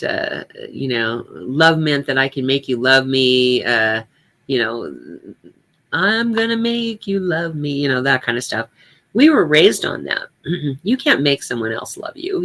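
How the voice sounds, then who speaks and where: Older woman with a bright, forceful voice. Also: rumbling low. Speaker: speaker giving a personal talk. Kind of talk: reflective personal storytelling talk.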